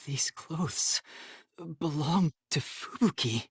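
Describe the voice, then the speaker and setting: A man playing an earnest, timid young detective trainee in an English video game, with a soft, boyish, slightly breathy voice and a hesitant, polite delivery. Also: fairly high pitch, lively intonation, slow pace, no room sound, clean studio sound, full character voice. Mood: grim, brooding.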